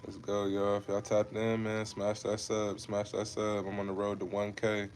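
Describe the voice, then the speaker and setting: A man's voice: fairly low pitch, monotone, moderate pace, darkish tone, a little hiss or hum. A man in his twenties from Ohio, chatting casually with viewers on a fitness livestream, laid-back and repetitive, calling them bro and man. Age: 20s. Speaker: fitness creator hosting a livestream.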